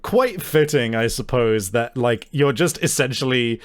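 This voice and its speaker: A man's voice: mid-range voice, lively intonation, measured pace, no echo. A man narrating an improvised tabletop game as game master, feeling out his phrasing in hesitant pieces.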